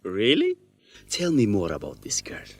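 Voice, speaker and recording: Earnest, lively young man. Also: fairly low pitch, measured pace, dry recording, heavily acted. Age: young adult. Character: earnest young male student reporter, polite but probing. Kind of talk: radio drama dialogue for English learners.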